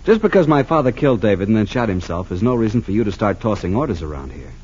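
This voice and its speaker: An actor playing a touchy, arrogant American son of a wealthy family, curt and combative, in an old radio drama. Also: male voice, on the low side, big pitch swings, fast talker, a little mellow, breathy edge. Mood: indignant. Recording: muffled 1940s-50s radio recording.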